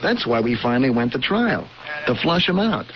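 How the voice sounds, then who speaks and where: An actor playing a folksy, dogged police lieutenant in an old-time radio crime drama, slangy and emphatic. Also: male voice, medium pitch, very animated, normal speed. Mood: gruff, confiding. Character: folksy, hard-boiled police lieutenant, world-weary and dogged. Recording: muffled, hissy old radio recording.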